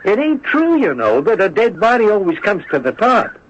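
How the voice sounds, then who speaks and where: A man's voice: high voice, wildly swooping pitch, normal speed, full character voice. An American man playing a naive, chatty rustic deputy with a folksy drawl in a radio mystery drama. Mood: eager, musing. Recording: dull lo-fi old radio recording, faint noise.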